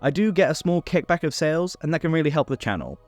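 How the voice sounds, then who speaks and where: A man's voice: fairly high pitch, lively intonation, fast, a little mellow, no room sound, soft background music. A man hosting a tabletop game tutorial, reading a scripted rules explainer.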